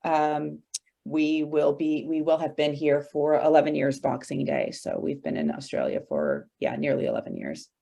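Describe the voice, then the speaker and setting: Low, gravelly woman from Arkansas. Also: expressive, moderate pace, warm tone. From Arkansas, USA. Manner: hesitant, many fillers and restarts. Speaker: interview guest, writer. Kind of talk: casual interview conversation.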